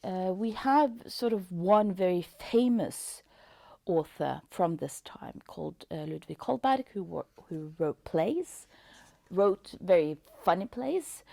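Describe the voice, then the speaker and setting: A woman with a Norwegian accent, a native Norwegian speaker, hosting a casual book review vlog in a halting way, full of ums and uhs, with soft whispery asides. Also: mid-range voice, lively intonation, creaky, dry recording. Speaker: booktube host.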